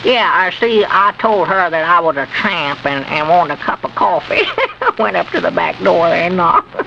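High, creaky-voiced gullible country fellow. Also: male voice, expressive, moderate pace, pushed and bright, exaggerated voice. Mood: cheerful, chuckling. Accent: rural Southern US. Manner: folksy, stammering, chuckling. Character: good-natured, gullible, henpecked rural country man. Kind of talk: 1940s radio comedy acting. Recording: noisy, muffled old radio recording.

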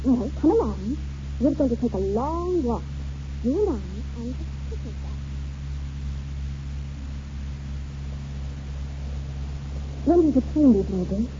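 An actress playing a caring, gently firm young Scottish sweetheart in a 1940s radio drama, with a tender delivery. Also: female voice, fairly high pitch, big pitch swings, normal speed. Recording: noisy, muffled 1940s radio recording.

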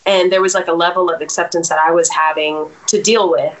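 A woman in her thirties with a fairly low voice speaks as a guest in a relaxed interview. She thinks aloud, pausing on fillers and circling back to restart her sentences. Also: rough recording. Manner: reflective, many fillers and restarts. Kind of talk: casual interview chat.

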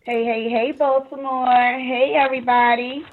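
A woman's voice: sits high, steady tone, moderate pace, phone-quality sound. A woman co-hosting a talk show in casual chat, calling in over a phone conference line. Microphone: phone call.

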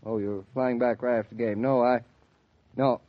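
Young American husband for 1940s radio play man acting, medium pitch, conversational, patient with a touch of exasperation. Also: steady tone, moderate pace, close to an everyday voice. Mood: polite, flustered. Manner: explanatory, hesitant, conversational. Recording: dull, lo-fi 1940s radio recording.

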